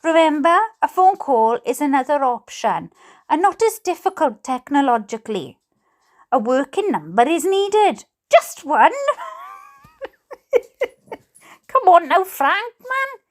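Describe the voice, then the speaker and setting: High-pitched, slow-paced Welsh woman. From Wales. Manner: theatrical, expressive, playful delivery. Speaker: host reading letters aloud. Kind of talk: dramatic reading aloud with amused asides.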